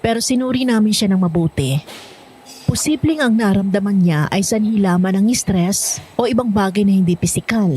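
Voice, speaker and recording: Casting: actress as reassuring, formal female physician, calm mid-range voice, lightly noisy ambience, audio drama. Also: mid-range voice, close to an everyday voice. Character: calm, formal woman hospital doctor, reassuring. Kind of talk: acted horror audio drama.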